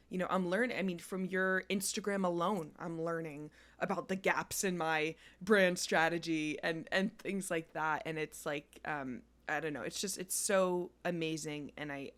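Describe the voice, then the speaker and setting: A woman's voice: fairly low pitch, a bit bright. A woman hosting a podcast in a casual conversational style, talking in a chatty way with frequent restarts. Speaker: podcast host.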